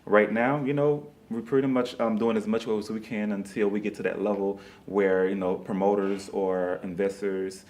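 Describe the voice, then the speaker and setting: A man with a low-pitched voice talks as a guest in a promotional interview. His delivery is casual and conversational. He phrases things informally and repeats short phrases to stress them. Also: fairly even intonation, moderate pace, hardly any pauses, darkish tone. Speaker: interview guest, stage play producer.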